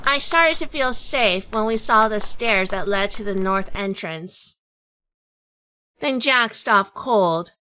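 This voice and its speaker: A woman playing a tough, wary noir heroine who narrates her crime story in a crisp, self-possessed way. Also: mid-range voice, expressive, takes it slowly, bright, forceful tone, no echo, poor audio. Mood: wary, cool. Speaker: narrator and lead actress of audio drama.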